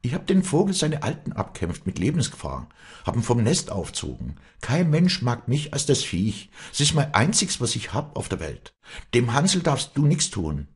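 Man voicing a fiery, proud Alpine mountain woman, raised pitch. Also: sing-song, moderate pace, a little mellow, breathy edge, heavily acted. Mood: pleading. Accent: Bavarian-Austrian Alpine. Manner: emphatic Alpine dialect, pleading and defiant. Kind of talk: dramatic audiobook character dialogue.

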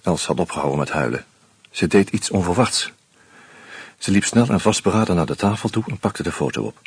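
A low, husky weary narrator. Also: male voice, expressive, a little fast, no echo, full character voice. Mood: tense. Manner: tense, terse storytelling. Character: weary male first-person narrator on pills. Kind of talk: radio play narration.

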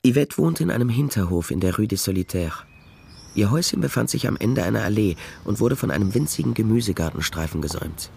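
An actor playing a wry, laconic private detective in a German radio crime drama, narrating and talking dryly. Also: male voice, lowish voice, animated, fast, gravelly, noisy background. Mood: dry narration. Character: Nestor, wry, dogged male private detective. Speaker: detective narrator and lead character.

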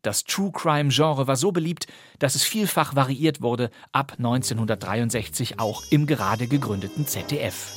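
A man's voice: medium pitch, normal speed, slightly rough, faint music behind. A man with a German accent hosting a podcast, reading scripted narration in an articulate, presenterly way. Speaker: podcast host. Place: dry studio.

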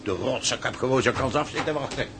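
An actor playing a coarse, grumbling deckhand in a radio play, talking in rough colloquial speech. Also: male voice, mid-range voice, quick pace, fairly bright tone, a little hiss or hum, natural voice. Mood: scornful.

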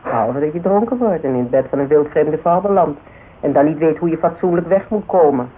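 A woman's voice: low-pitched, expressive, fairly quick. An actress playing a frank, sarcastic, worldly woman in a Dutch radio drama. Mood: teasing, dry. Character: worldly, plain-spoken woman, blunt and teasing. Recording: tinny band-limited radio recording, faint hiss.

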